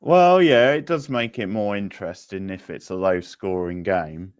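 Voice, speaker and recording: A man casually hosting a live quiz, reading out questions, options and scores in a halting way. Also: low-pitched, clear, resonant tone, no echo. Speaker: quiz host.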